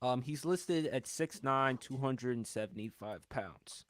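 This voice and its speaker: A man's voice: mid-range voice, lively intonation, a little slow, a bit bright. Thirty-year-old man hosting a sports podcast. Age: 30s. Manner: opinionated, lays out arguments step by step. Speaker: podcast host. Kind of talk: casual sports podcast talk.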